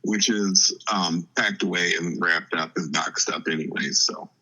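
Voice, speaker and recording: Man in his fifties, lowish bright voice, casual podcast banter. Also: a little slow, rough recording. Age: 50s. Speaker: podcast co-host.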